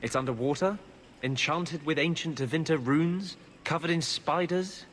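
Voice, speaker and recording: A man's voice: a little high, moderate pace, fairly bright tone, a little hiss or hum, lightly acted. Man playing a lightish-voiced sardonic adventurer. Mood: sardonic teasing. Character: skeptical, drily teasing male adventurer companion. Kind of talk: fantasy game banter acting.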